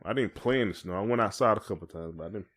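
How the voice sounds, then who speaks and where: Man, Southern US accent, lowish pitch, casual podcast chat, colloquial, playful. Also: racing, a bit bright. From United States. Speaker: podcast co-host.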